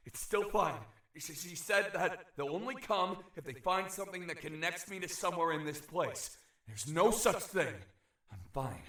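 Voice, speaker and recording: A man's voice: high-pitched, sharp tone, full character voice. A man playing an anxious young shapeshifter's fretful inner voice in an audio drama, with an echo effect. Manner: fretful, self-reassuring. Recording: echoing reverberant voice.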